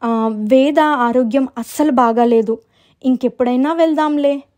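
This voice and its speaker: A woman's voice: sits high, unhurried, a little mellow, pitched above the performer's own voice. A woman voicing a worried but resolute elder sister in a story, firm and concerned.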